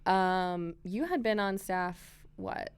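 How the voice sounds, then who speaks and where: A woman hosting a casual chat podcast from a library room, a bit hoarse from a cold, bubbly and quick to giggle. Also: on the low side, animated. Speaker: podcast host.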